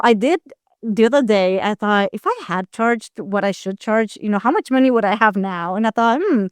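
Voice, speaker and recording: A woman's voice: medium pitch, clear, resonant tone, dry recording, studio quality. A middle-aged woman from Costa Rica answering interview questions conversationally, in a candid, reflective, explanatory way. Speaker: guest, anthropologist and expert witness.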